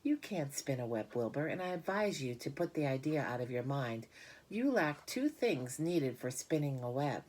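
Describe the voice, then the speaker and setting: Storybook spider mentor, female, patient, matter-of-fact, lively pitch movement; woman reader. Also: moderate pace, full character voice. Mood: frank, consoling.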